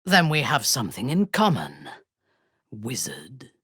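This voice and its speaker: Haughty dark elf commander, slow and expressive. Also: female voice, very deep voice, husky, no room sound, studio quality, heavily acted. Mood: cold, commanding. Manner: imperious, measured, menacing. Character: haughty, ruthless dark elf warrior woman, cultist commander. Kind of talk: video game character voice lines.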